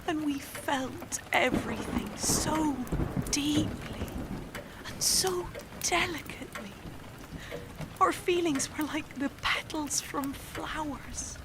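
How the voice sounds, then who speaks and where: A young woman acting in an audio drama as a fragile, fervent, exhausted actress, halting and repeating herself. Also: high-pitched, noisy background. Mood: lovesick, intense. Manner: halting, repetitive, self-correcting, intense.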